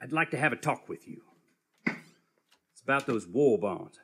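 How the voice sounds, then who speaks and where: An actor playing a smooth-talking crook who poses as a folksy American traveler, in comic live radio theatre, hesitant and evasive. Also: male voice, medium pitch, lively intonation, unhurried, fairly bright tone. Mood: conniving. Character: smooth-talking crooked man posing as weary, friendly traveler. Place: live theatre stage with audience.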